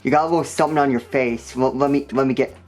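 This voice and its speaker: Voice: mid-range voice, fairly even intonation, fast talker, darkish tone, soft background music. A game reviewer narrating a scripted comedic recap with wry, joking asides.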